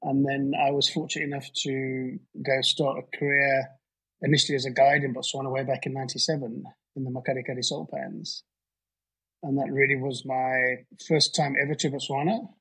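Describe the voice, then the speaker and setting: A man's voice: medium pitch, little pitch movement, moderate pace, creaky, fairly bright tone, slightly rough, poor audio. A middle-aged man with a Southern African English accent, a conservation biologist speaking modestly and thoughtfully, calling in remotely. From Zambia and Zimbabwe. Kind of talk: casual interview answers.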